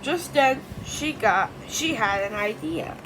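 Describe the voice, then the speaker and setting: A high, very lively female voice reads a storybook aloud. She stumbles and corrects herself, slipping into informal asides. There is clear background noise behind her. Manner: halting, self-correcting reading. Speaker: story reader.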